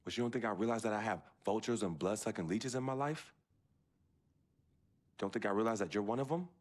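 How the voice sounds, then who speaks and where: A man's voice: on the low side, fairly quick, natural voice. An actor playing a loyal young basketball star in a video game drama, speaking earnestly and firmly to his sister. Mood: confrontational. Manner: earnest, firm, pleading. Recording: music under the dialogue.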